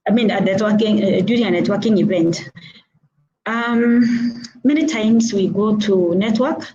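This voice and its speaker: Earnest Kenyan woman, warm mellow voice. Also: mid-range voice, a little slow, highs cut off, slight background noise. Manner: earnest and advising, repeating points with frequent "uh" hesitations. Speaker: motivational speaker. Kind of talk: instructive talk on networking. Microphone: video call.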